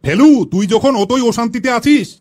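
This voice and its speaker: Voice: mid-range voice, expressive, normal speed, very noisy, lightly acted. A performer voicing a bossy, questioning schoolteacher in a comedy cartoon. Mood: inquisitive. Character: schoolmaster quizzing cheeky pupils in a comedy cartoon. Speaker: teacher.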